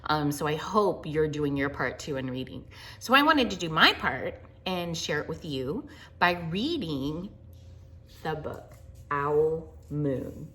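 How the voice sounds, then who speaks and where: An American woman reading a storybook aloud to children in an informal, chatty and expressive way. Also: deep voice, slow. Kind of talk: storybook read-aloud with casual asides to children.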